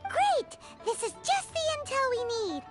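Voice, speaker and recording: A tiny fairy girl with an extremely high, squeaky voice that swoops wildly. She is a cheeky, excitable game companion, with loud childlike exclamations over prominent music. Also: normal speed. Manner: speaks of herself in third person, exclamatory. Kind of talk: video game voice acting.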